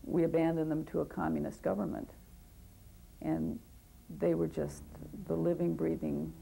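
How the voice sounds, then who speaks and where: A woman's voice: sits low, level tone, moderate pace, vocal fry, warm tone, a little hiss or hum, rough recording. A woman advocate and teacher speaking earnestly and with passion in a documentary interview, sometimes restarting her sentences.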